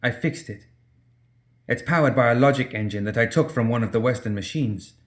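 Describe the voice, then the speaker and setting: A man's voice: mid-range voice, brisk, close to an everyday voice. A man voicing a young woman fighter, tender but proud, in an audiobook story. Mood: pleading, tender.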